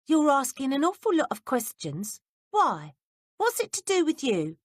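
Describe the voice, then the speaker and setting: Woman reads sly young manservant, gossipy and blunt, animated delivery. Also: high-pitched, unhurried, no room sound, clean studio sound, pitched above the performer's own voice, exaggerated voice. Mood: grumbling. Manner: blunt working-class speech.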